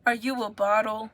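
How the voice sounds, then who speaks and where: A woman's voice: a little high, bright, forceful tone. A young woman from the United States, chatting casually and giggling as she rambles to viewers on a livestream.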